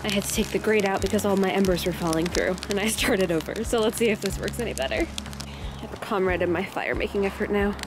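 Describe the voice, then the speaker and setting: A woman's voice: medium pitch, fast, echoey room, over music. A woman in her thirties chatting casually to a camera as a vlog host.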